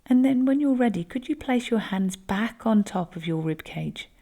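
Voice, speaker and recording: A woman instructor leading a guided breathing exercise, speaking gently and unhurriedly. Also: fairly high pitch, lively intonation, moderate pace.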